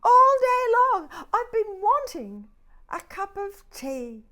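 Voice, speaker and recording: A busy housewife, as a woman reading aloud voices her, scolds in a high, lively voice. It has the clear exaggeration of a children's storybook. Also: slow pace, full character voice. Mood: scolding. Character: busy housewife, teapot's owner.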